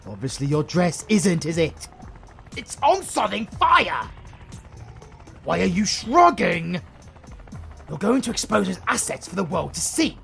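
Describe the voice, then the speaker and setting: A man acts out a British comic-book supervillain with a highly animated, gravelly voice. He is theatrical and menacing, yet easily exasperated and secretly smitten with his heroine rival, in a romantic comedy audio drama. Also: measured pace, long pauses, faint music behind, full character voice. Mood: exasperated, panicked.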